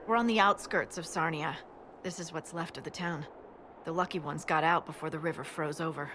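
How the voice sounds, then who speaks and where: A scout giving reports in a fantasy game. She is dry and plain-spoken in a fairly low voice, with clear ambient noise around her. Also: fairly even intonation, a little fast, fairly bright tone. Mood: grim, wry. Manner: matter-of-fact, dry reporting. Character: plain-spoken, wry female army scout. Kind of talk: video game dramatic acting.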